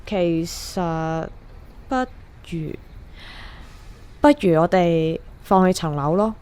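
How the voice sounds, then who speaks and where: A woman's voice: lowish voice, lively intonation, drawn-out words, warm tone, smooth. An actress with a Hong Kong Cantonese accent playing an earnest young woman fed up with her mortgage struggle in a radio drama. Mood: resolute.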